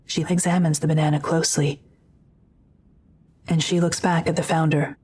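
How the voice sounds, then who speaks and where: A woman's voice: low voice, little pitch movement, normal speed, a little mellow, slight background noise. A woman reading scripted third-person narration as the narrator of an audio drama.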